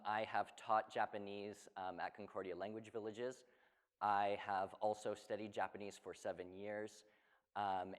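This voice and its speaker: A man answering audience questions about his startup, hesitant, with many ums and restarts. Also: lowish voice. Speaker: startup cofounder, creative director. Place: event hall. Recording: long hall reverb.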